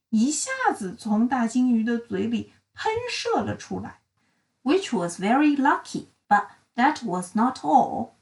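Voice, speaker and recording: A woman narrating a children's storybook in an expressive, dramatic and deliberate storytelling style. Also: on the high side, distant mic. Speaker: read-aloud storyteller for children.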